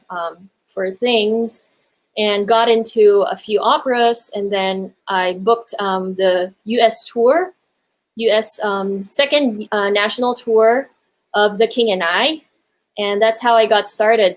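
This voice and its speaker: A woman from the Philippines and Hong Kong, a musical theatre performer, chatting casually and appreciatively as an interview guest. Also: mid-range voice.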